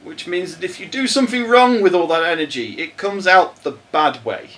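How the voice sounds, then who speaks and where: A man's voice: sits high, animated, fairly quick, a bit bright. A man co-hosting a casual podcast, explaining electronics in plain terms and laughing often. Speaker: podcast co-host answering tech questions. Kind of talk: casual chat.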